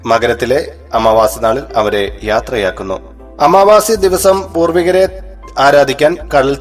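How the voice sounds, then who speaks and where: A man giving a formal, measured, explanatory religious discourse in the manner of a scripted audio blog host. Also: medium pitch.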